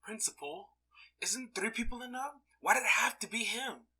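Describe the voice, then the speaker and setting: A woman plays a young student in a heist crew, given to complaint. The voice is high-ish, tense and bright with a raspy edge, acted in anime fan dub style. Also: normal speed, rough recording. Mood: incredulous, annoyed.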